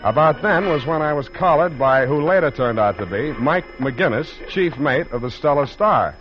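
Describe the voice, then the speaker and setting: An actor playing a wisecracking hardboiled private detective, wry and deadpan, in 1940s radio style. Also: male voice, fairly high pitch, normal speed, close to an everyday voice. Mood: wry, breezy. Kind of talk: 1940s radio acting with narration. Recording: muffled 1940s radio recording, noisy music.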